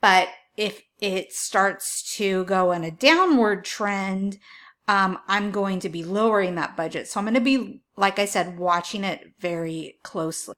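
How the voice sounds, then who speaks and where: A woman giving an informal explainer with advice to viewers, speaking in a measured, emphatic way as she lays out each point. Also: mid-range voice, fairly bright tone. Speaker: online seller giving marketing tips.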